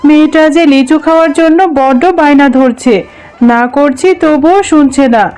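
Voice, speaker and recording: A woman's voice: high voice, fairly even intonation, normal speed, a little mellow, close to an everyday voice. A woman voicing a poor, polite, plaintive widowed mother in a children's cartoon. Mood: pleading. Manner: pleading, plaintive.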